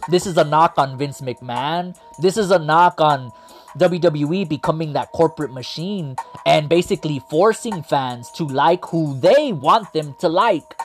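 A man gives a rambling, hyped recap in a slightly high voice. His voice is going hoarse from all the talking, and he keeps throwing in "like" and "man." Light music plays faintly behind him. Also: a little slow. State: losing his voice. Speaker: wrestling show host. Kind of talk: excited casual commentary.

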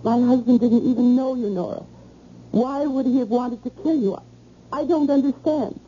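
An actress playing a bewildered wife insistently demanding answers in an old-time radio drama. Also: female voice, on the high side, moderate pace, close to an everyday voice. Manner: insistent, questioning. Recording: muffled, hissy vintage radio broadcast.

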